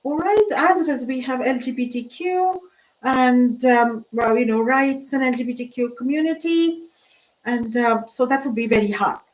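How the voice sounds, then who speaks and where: High-voiced older woman, rambling hesitantly. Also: moderate pace, far from the mic, rough recording. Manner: hesitant, full of fillers and restarts. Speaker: vlogger. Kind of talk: rambling casual monologue.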